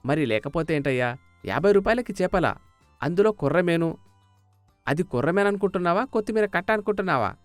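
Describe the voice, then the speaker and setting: A man's voice: a little high, animated, a little fast, no echo, faint music behind, pitched above the performer's own voice, natural voice. A man voicing an indignant village fisherman who haggles with sarcasm and pleading in a moral story. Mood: indignant, mocking.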